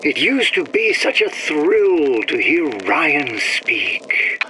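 An actor playing a theatrical, jaded artist, florid and mocking, in a game audio log. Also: male voice, a little high, very animated, takes it slowly. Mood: wistful mockery. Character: theatrical, jaded male artist with grand disdain. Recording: noisy, distant old audio-log recording.

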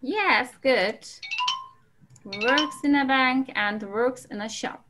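A woman's voice: high voice, slow, over music. A woman with a Turkish accent teaching an English class over a video call, patiently calling on students.

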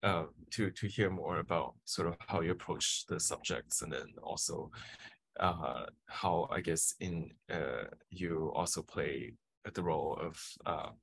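A man's voice: low-pitched, unhurried, creaky, a bit bright, slightly rough. A man in his thirties moderating a panel over a video call from a home office, talking hesitantly with many fillers and restarts.

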